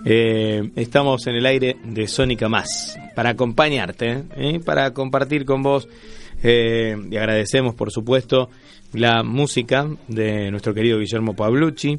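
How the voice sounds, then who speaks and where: A man's voice: mid-range voice, fairly even intonation, measured pace, soft background music. A man with an Argentine Rioplatense accent hosting an informal live radio magazine, teasing his colleagues and often repeating his phrases. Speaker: radio show host. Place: radio studio.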